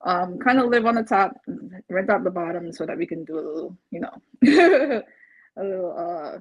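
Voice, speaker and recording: Husky-voiced woman from Barbados, chatting casually. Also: on the low side, lively intonation, normal speed, no echo. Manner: chatty, laughs often while talking. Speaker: interviewed client, first-time home buyer. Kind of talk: casual testimonial interview. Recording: dull, muffled lo-fi audio.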